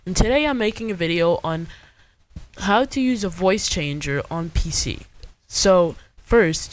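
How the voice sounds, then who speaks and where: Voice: medium pitch, poor audio. Casual young tutorial host, mid-range voice. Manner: informal, step-by-step instructing. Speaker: video host.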